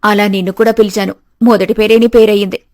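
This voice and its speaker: A woman voicing a confident, motherly and slightly crafty middle-aged aunt in an animated story. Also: medium pitch, quick pace, no echo, studio quality, natural voice. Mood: motherly, friendly. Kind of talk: animated moral story dialogue.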